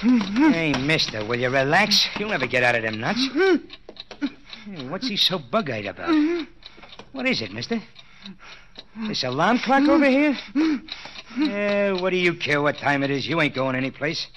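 A man's voice: fairly high pitch, big pitch swings, normal speed, long pauses, bright, forceful tone, a little hiss or hum. An actor playing a puzzled, chatty small-time crook with slangy tough-guy talk, in 1940s radio drama style on an old recording. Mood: taunting curiosity.

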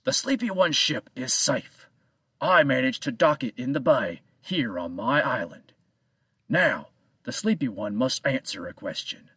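A man voicing a mysterious old island keeper who speaks in slow, measured riddles. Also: mid-range voice, sing-song, fairly bright tone, slightly rough, dry recording, distant mic, full character voice. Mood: calm, cryptic.

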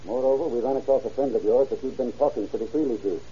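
A man's voice: mid-range voice, quick pace, warm tone, a little hiss or hum, lightly acted. A man acting a hard, relentless police interrogator in a 1930s radio drama, clipped and accusing. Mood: accusing, pressing. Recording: muffled old radio recording.

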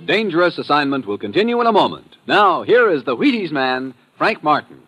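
A man's voice: on the high side, big pitch swings, a little fast. A man announcing a show in dramatic vintage radio style. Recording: old radio recording, loud music behind.

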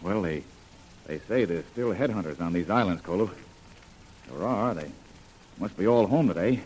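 An actor playing a calm, watchful jungle adventurer, understated, in an old radio serial. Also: male voice, sits low, moderate pace, slight background noise, rough recording. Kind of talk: 1940s radio serial acting.

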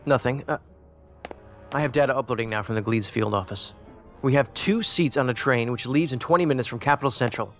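An actor playing an analytical, aloof federal investigator in an audio drama, with a deliberate, cerebral manner. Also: male voice, medium pitch, faint music behind, natural voice. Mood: businesslike.

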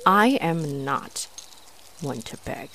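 A woman's voice: deep voice, expressive, slow pace, pushed and bright, a little hiss or hum, full character voice. A woman playing an aggravated, haughty, flirtatious female vampire in a scripted audio roleplay, with mocking, theatrical delivery. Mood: grudging. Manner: mocking, sardonic, theatrical taunting.

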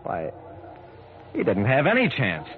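Kindly male amateur detective, animated first-person radio narration, vintage narrow-band sound, faint noise. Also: mid-range voice, a little slow. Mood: earnest. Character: earnest, kindly amateur sleuth telling his story. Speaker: narrator and lead actor. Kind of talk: old-time radio drama acting and first-person narration. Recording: noisy, band-limited old radio broadcast.

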